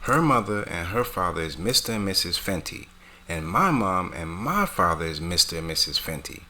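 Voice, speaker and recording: A man from the United States hosting his vlog, talking casually to camera in a relaxed, rambling way. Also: low-pitched, moderate pace. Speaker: vlog host. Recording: music playing underneath.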